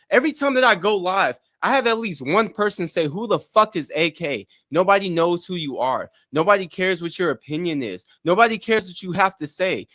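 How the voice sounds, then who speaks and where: A man ranting loudly and profanely, taunting others and mocking how flat they sound. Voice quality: deep voice in his own view. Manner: profane, loud, taunting, mocks others' monotone. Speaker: streamer in a played clip. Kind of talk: angry rant.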